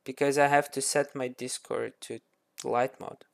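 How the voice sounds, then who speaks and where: A woman's voice: a bass voice, fairly even intonation, normal speed, creaky. Stammering woman hosting a quiz. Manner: stammering restarts, chatty. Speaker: quiz host. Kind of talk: casual game show hosting over voice chat.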